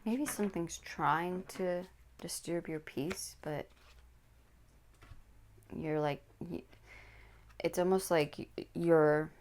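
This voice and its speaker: A woman with a low voice giving a tarot card reading, speaking slowly and soothingly and often dropping into a whisper, close to the mic. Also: fairly even intonation, a little mellow, slight background noise. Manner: slow, soothing, drops into whispers. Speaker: tarot reader.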